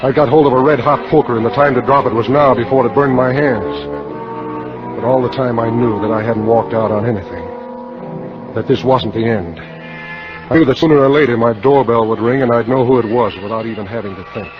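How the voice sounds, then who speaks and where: A man's voice: medium pitch, a little fast, over music, lightly acted. An actor playing a cynical, wisecracking insurance salesman in a 1950s noir radio drama, with terse, slangy delivery. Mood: grim narration. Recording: dull, lo-fi 1950 radio broadcast.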